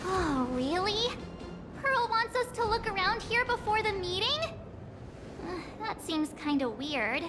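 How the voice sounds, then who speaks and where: Bubbly teenage girl adventurer, very high voice, animated and playful, anime game, music underneath. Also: a little slow, full character voice. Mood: curious, excited. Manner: exclaiming, playful, teasing. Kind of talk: anime-style video game voice acting. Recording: music behind the voice.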